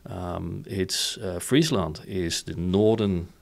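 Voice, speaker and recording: A man's voice: low-pitched, slow, creaky. A man from the Netherlands, chatting casually as an interview guest and explaining things in short, informal bursts that often end in a checking question. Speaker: interview guest, company founder.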